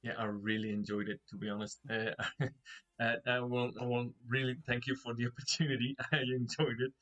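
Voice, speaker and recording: A man's voice: mid-range voice, little pitch movement, fairly quick, fairly bright tone, dull audio. A man casting esports with English as his third language, speaking hesitantly with many restarts and laughs. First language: not English. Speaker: esports caster. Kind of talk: casual esports commentary.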